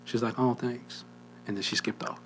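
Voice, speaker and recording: Husky man telling a story. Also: mid-range voice, fairly even intonation, normal speed, a bit bright, soft background music, rough recording. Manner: storytelling, drops to a near whisper, laughs often. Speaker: podcast co-host. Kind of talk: casual chat.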